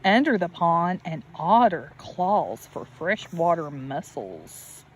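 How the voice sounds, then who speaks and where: A woman teacher reading a picture book aloud to children, deliberate and expressive, with questions and asides to her listeners. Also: lowish voice, husky. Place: outdoors beside a natural pond. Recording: steady outdoor background noise. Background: birds and outdoor ambience.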